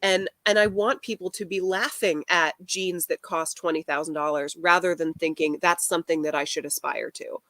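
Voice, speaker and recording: A woman talking in a casual live chat about fashion, deadpan and wry. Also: lowish voice, normal speed, hardly any pauses, no room sound. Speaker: fashion commentary creator.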